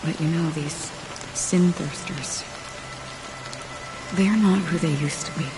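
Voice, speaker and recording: An actress playing a calm, philosophical female wanderer in a video game, speaking in a quiet, measured way. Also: sits low, over music, rough recording. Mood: pensive, calm. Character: calm, pensive female wanderer seeking meaning. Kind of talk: dramatic game cutscene acting. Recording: steady rain noise behind the voice.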